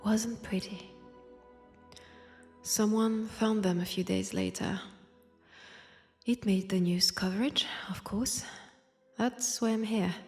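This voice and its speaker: A woman's voice: medium pitch, gravelly, darkish tone. A woman with a French accent playing a wistful, wry centuries-old vampire in a convent, in an intimate audio roleplay, hesitant and sighing. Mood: uneasy, confessional. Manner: hesitant, sighing, drifting into near-whispers. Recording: long church-like reverb.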